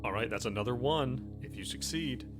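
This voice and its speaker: A man narrating a tabletop horror game as game master, describing things in a halting, conversational, improvised way. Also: mid-range voice. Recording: music playing under the voice. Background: background music.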